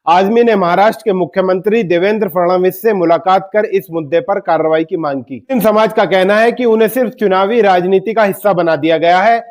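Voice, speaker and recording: Male, high voice, news commentator, scripted political delivery, emphatic, direct address to viewers. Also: a little fast, few pauses. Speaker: news commentator and channel host.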